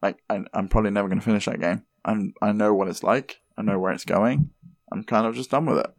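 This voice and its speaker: Gravelly man on a dry podcast mic. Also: lowish voice, fast talker, no echo. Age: older than his co-host. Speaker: podcast co-host. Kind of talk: casual chat.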